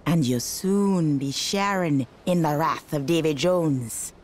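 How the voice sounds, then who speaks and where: A woman playing a mysterious, knowing pirate sorceress with a Caribbean accent in a video game, telling tales in an ominous, drawn-out way. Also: low voice.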